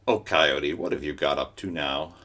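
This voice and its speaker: A man voicing a calm, patient older male wolf in a story, speaking in a steady, resigned and kindly way. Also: a bass voice, moderate pace, exaggerated voice.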